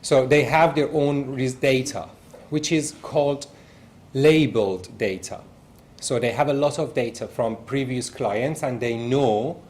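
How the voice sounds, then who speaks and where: A man's voice: mid-range voice, a little mellow. A male university lecturer with an Iranian accent, a native Persian speaker, giving a recorded lecture and working through examples step by step with frequent fillers.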